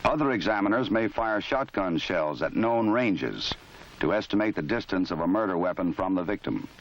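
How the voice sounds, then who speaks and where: A man with a fairly low voice delivers measured, matter-of-fact narration in a formal register. The sound is dull and lo-fi, like an old 1970s film soundtrack. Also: animated, a little hiss or hum. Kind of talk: scripted documentary narration. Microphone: voice-over.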